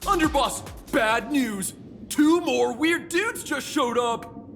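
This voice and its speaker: A woman's voice: sits high, lively intonation, slow pace, music in the background, full character voice. A woman playing a gang lackey in a video game, in a reverberant recording. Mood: urgent. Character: gang lackey reporting to the underboss.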